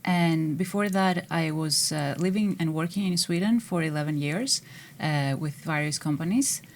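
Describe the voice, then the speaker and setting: A woman speaks in a lowish voice as an engineering manager on a panel. She answers thoughtfully, pausing often with small fillers as she gathers her words. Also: gravelly, slight background noise. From Greece. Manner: thoughtful, with frequent hesitations.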